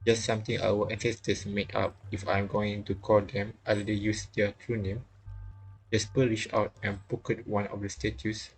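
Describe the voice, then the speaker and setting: A man streaming a visual novel, reading its dialogue aloud haltingly with casual reactions. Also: lowish voice, little pitch movement, breathy edge, low-quality recording. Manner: halting, repeats phrases as he reads. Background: game music.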